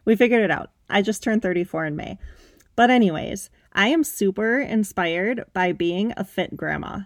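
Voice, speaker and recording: Woman, 30s, podcast host: emphatic, upbeat pep talk, mid-pitched, dry close sound. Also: expressive, normal speed, dry recording. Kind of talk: motivational solo podcast talk.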